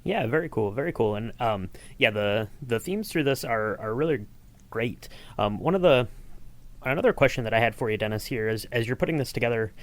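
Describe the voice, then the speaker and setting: A man's voice: medium pitch, animated, fairly quick. A man hosting a podcast, conversationally putting questions to his guests. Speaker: podcast host, interviewer.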